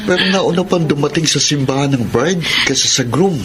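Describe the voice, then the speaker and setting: A man's voice: fairly high pitch, fairly quick, a bit bright, slight background noise, close to an everyday voice. An actor with a Filipino accent playing a concerned, helpful male friend in a radio drama. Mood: concerned, polite.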